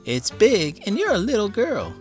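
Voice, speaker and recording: A man's voice: sits high, huge pitch swings, moderate pace, faint music behind, voice pushed up. A man voicing a protective, doubtful mother in a children's storybook. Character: protective, frowning mother of a little girl.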